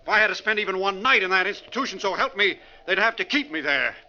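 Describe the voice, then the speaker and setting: A chatty, nervy American man riding a bus, with a very sharp, forceful voice set slightly high. He talks colloquially, as in 1940s radio drama, on a muffled old recording. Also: lively intonation, fast, exaggerated voice. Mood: agitated. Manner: colloquial, talkative. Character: chatty, nervy male bus passenger, a windbag.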